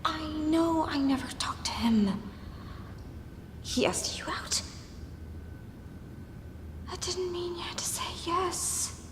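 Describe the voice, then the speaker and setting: A woman's voice: fairly high pitch, very animated, slow, long pauses. An actress playing an angry, jealous woman in a comic film monologue, whispering furiously into a phone with indignant outbursts. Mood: hurt, indignant. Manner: hushed, indignant, swooping into outbursts. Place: large museum gallery, indoors. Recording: echoey reverberant hall, some noise.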